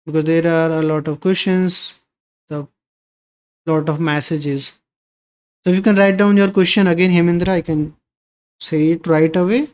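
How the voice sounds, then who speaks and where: A man's voice: on the high side, fairly even intonation, moderate pace, long pauses, a little mellow, clear, resonant tone. A man hosting a live coding stream, reading viewer questions aloud and answering them in a casual, conversational way.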